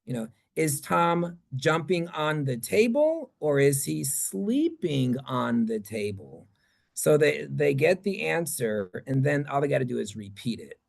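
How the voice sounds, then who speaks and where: Creaky-voiced man answering audience questions. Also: fairly high pitch, sing-song, unhurried. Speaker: presenter. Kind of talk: conference talk question-and-answer session.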